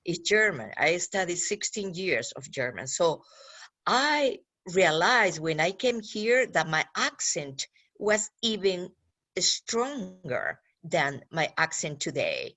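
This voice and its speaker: A woman with a Peruvian Spanish accent and a fairly low voice talks haltingly on a career panel, filling gaps with "you know" and "uh". She speaks over a video call. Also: animated, pushed and bright. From Peru. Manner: halting, many fillers and restarts. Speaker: outreach director.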